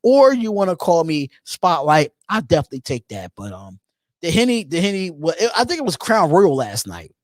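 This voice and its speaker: A man in his thirties hosting a live call-in show on his own, talking casually, repeating phrases and pausing on drawn-out ums while he reads out chat comments. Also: a little high, huge pitch swings, no room sound, studio quality. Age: 30s. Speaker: host.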